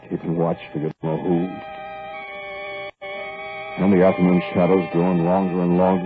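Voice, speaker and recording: An actor playing a hard-bitten robber on the run, narrating to the listener in a gruff, clipped 1940s radio drama style. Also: male voice, low-pitched, close to an everyday voice. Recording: tinny old radio broadcast.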